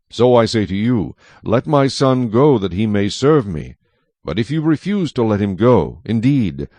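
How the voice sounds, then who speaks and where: An actor playing a solemn, commanding God in a dramatized scripture reading, with measured, authoritative delivery. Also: male voice, on the low side, a little mellow, no room sound. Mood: grave, commanding. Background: faint music.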